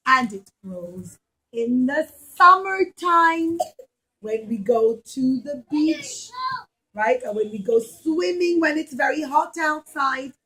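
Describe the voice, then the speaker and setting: A woman's voice: high-pitched, expressive, takes it slowly, bright, forceful tone, rough recording. A woman storyteller speaking to young children in simple, repetitive, teacherly phrases. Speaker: puppet show storyteller for children.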